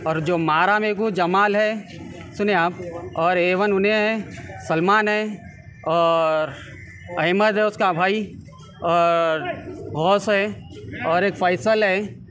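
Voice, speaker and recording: A man's voice: high-pitched, fairly even intonation, slow pace, long pauses, over music. A young man with a Hyderabadi accent giving a spontaneous, insistent account to reporters, often repeating himself and urging them to listen. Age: young adult. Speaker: injured attack victim giving a statement. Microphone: handheld reporters' microphones held close. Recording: street-interview sound with crowd bustle.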